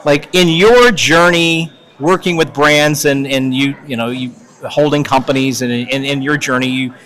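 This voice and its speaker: A man's voice: medium pitch, steady tone, normal speed, a bit bright. A man hosting a podcast, interviewing a guest in a conversational style. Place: podcast booth at a conference.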